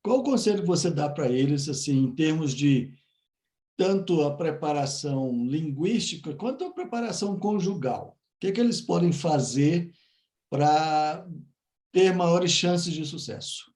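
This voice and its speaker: A man hosting an interview, asking questions in a deliberate way as he searches for his words. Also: fairly high pitch, a little mellow, roomy sound. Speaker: interviewer, coach.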